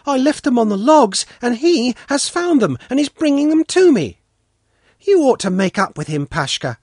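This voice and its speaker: A man's voice: high voice, sing-song, unhurried, no echo, studio quality, voice pushed up, exaggerated voice. A man voicing a small, curious, kind-hearted girl in a storybook reading. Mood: joyful, pleading.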